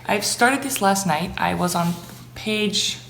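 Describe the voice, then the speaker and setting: A woman's voice: medium pitch, slow pace, gravelly, fairly bright tone. A young adult woman, a native Dutch speaker from the Netherlands, chatting casually and enthusiastically as a book vlogger. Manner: enthusiastic, gushing about things enjoyed. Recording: echoey room, faint background noise.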